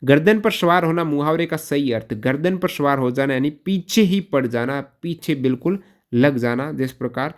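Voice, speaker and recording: A man teaching an exam-prep lesson question by question, posing questions and repeating key answers in an instructional way. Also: fairly high pitch.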